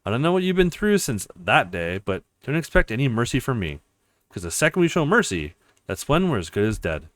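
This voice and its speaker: A man's voice: medium pitch, very animated, brisk, no room sound, close to an everyday voice. A man voicing a cocky, taunting young male fighter from a visual novel, with a swaggering delivery. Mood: menacing.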